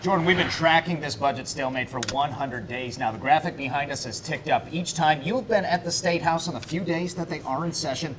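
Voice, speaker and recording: A man's voice: a little high, brisk, few pauses, background noise. A man presenting a special-report preview on television news, conversational in the studio.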